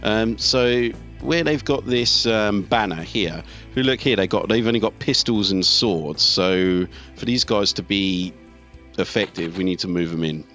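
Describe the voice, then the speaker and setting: A man's voice: on the low side, a little slow, a bit bright. A man giving casual live commentary on a game, thinking aloud as he talks through his tactics. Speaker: let's play host. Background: video game music, artillery fire and vehicles.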